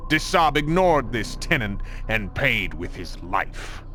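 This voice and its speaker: An actor playing a pompous, menacing Templar commander in a video game, scornful and theatrical with formal oratory. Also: male voice, fairly low pitch, sing-song, slow pace, pushed and bright, a little hiss or hum, full character voice. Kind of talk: dramatic video game cutscene acting.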